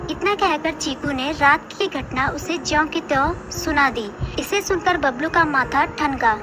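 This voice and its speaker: A woman with a high voice narrates a children's animal tale. She delivers scripted storybook narration as the storyteller. Clear noise is audible behind her. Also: measured pace.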